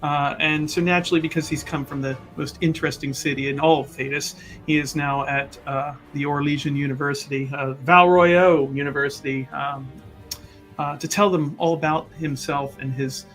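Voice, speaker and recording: A man's voice: a little high, steady tone, normal speed, fairly bright tone, soft background music. A man chatting casually as a tabletop game player, rambling and joking as he introduces his character.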